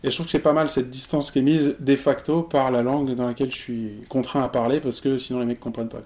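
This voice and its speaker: A mid-pitched male voice leading an interview. He speaks in a measured, articulate way, thinking aloud as he builds his questions. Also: little pitch movement, darkish tone. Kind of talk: interview host.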